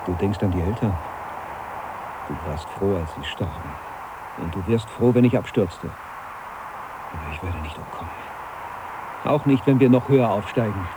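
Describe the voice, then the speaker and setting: An actor playing a fretful, self-righteous middle-aged archivist in a German radio drama, arguing in a pedantic, declamatory way. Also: male voice, deep voice, animated, normal speed, long pauses. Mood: accusing. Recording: noisy cassette copy of radio drama.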